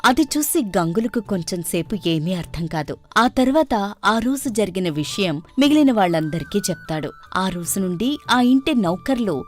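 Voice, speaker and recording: Lady storyteller reading a kids moral story, mid pitch voice, soft music behind. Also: expressive, normal speed. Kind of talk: scripted children's moral story narration.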